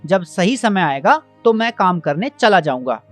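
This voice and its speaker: A man's voice: sits high, very animated, moderate pace, fairly bright tone. Man playing a breezy, clever, lazy village lad. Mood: carefree, dismissive. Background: background music.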